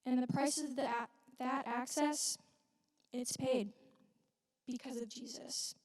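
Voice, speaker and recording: Woman performing wounded young biblical widow, high voice, earnest church monologue, weighted pauses, distant in an echoey hall. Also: fairly even intonation, a little mellow. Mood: reverent, hopeful. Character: widowed young Galilean mother, wounded, reverent witness of Jesus.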